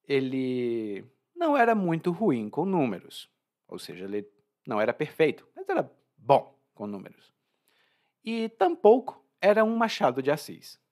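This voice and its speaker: A Brazilian man explaining words to language learners, with a slow, clear teaching delivery and frequent check-in questions. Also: medium pitch, a little mellow.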